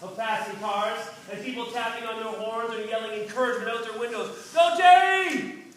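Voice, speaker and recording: A man's voice: sits high, little pitch movement, brisk, sharp tone. A man reading a first-person story aloud expressively, recorded at a distance from the microphone. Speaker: reader. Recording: roomy, distant microphone with faint noise.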